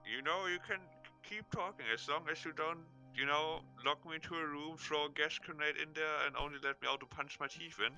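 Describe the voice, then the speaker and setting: A man's voice: a little high, brisk, hardly any pauses, pushed and bright, faint music behind, pitched above the performer's own voice, poor audio. A man voicing a wary, toothless female brothel keeper in a guarded, grumbling tone. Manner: raised put-on voice, guarded and grumbling.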